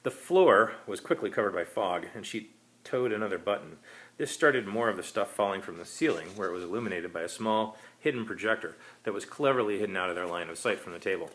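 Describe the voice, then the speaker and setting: A man with a fairly low voice narrates a short story. He reads scripted prose aloud as its author. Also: brisk, fairly bright tone, poor audio. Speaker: author reading his own story.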